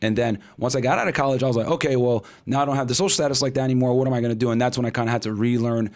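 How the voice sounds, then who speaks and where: Fast-talking man in his thirties. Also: mid-range voice. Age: 30s. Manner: rapid, filler-heavy storytelling with acted-out quotes. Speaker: podcast co-host, guest. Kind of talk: casual interview talk.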